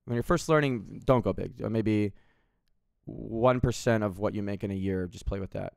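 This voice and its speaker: A man's voice: lowish voice, moderate pace, vocal fry, a little mellow, no room sound, clean studio sound. A man of thirty streaming from home, rambling in casual chat and swearing freely, with lots of restarts. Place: home room with window, indoors.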